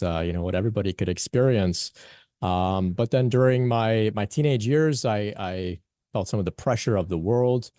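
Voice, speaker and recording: Low-voiced man giving an earnest talk. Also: darkish tone, dry recording, clean studio sound. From born in Pakistan, raised in Canada. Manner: earnest, many fillers and restarts. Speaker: spiritual teacher presenting at a conference. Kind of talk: conference talk, personal story.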